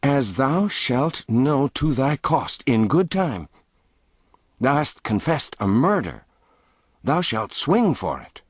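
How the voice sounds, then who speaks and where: A man's voice: mid-range voice, sing-song, slow pace, slightly rough, no room sound, studio quality, voice pushed up, exaggerated voice. A man voicing a proud, indignant boy king who speaks in formal, old-fashioned words. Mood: threatening. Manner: haughty, formal archaic speech.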